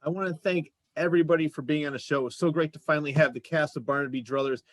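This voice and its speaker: A man with a fairly high-set voice hosts a casual interview show. His sound is close and dry, with conversational questions and remarks. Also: fairly even intonation, rapid-fire, dry recording. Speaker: show host.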